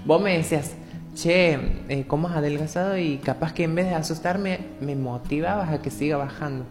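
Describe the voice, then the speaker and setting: A woman's voice: deep voice, moderate pace, big-room sound, music in the background. A woman in her twenties, a radio interview guest, talking openly and reflectively about her personal story. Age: 20s.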